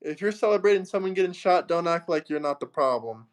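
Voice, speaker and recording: Combative high-voiced male livestream host. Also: lively intonation, fast. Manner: combative, mocking, asks for super chats. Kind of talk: heated political livestream debate.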